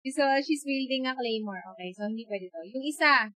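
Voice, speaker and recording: A woman's voice: sits high, lively intonation, measured pace, sharp tone, no echo, soft background music. A woman with a Filipino accent giving casual live gameplay commentary, chatty and reacting aloud as she switches between two languages. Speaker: game streamer.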